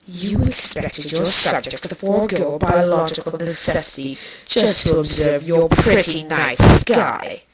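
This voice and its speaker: A woman's voice: sits low, a little slow, few pauses. A woman voicing a bitter, venomous changeling queen villainess with a biting, sardonic delivery in a fan fiction reading. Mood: scornful. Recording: distant mic, clearly noisy.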